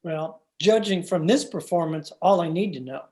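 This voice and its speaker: A man acting a snobbish, refined young gentleman suitor in an old-time radio drama, haughty and genteel. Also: fairly high pitch, normal speed, natural voice. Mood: indignant.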